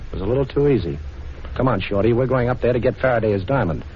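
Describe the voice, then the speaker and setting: A man playing a quick-witted, cool amateur sleuth with a glib, wry manner in a 1940s radio drama. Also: medium pitch, animated, close to an everyday voice. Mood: wry, explaining. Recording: dull, noisy 1940s radio recording.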